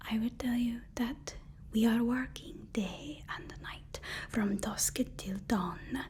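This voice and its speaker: A slow, whispered voice for a super villain's personal assistant acted out by a woman. She is deferential and eager to flatter, with a nervous hesitancy under the politeness. The delivery is an intimate ASMR roleplay, pitched fairly high. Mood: soothing, flattering.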